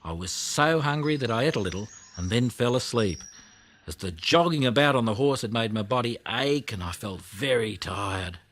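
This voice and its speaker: A man's voice: mid-range voice, animated, normal speed, fairly bright tone. A man voicing a chatty, motherly female koala who tells of her plight in a dramatic, plaintive way for a children's audiobook. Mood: plaintive, indignant. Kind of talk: children's audiobook character reading.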